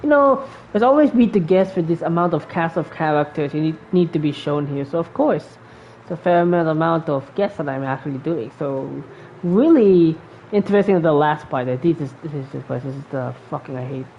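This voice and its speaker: A woman's voice: low voice, normal speed, darkish tone. A woman with a Filipino accent giving casual, rambling reaction commentary as host of a gaming video. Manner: rambling, informal. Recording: muffled mic with faint noise. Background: faint noise.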